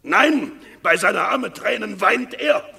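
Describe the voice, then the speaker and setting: A gravelly, bitter scheming general. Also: male voice, high voice, lively intonation, takes it slowly, bright, forceful tone, heavily acted. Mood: accusing. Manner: bitter, accusing verse delivery. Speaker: actor in radio play. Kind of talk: classical verse drama, radio play.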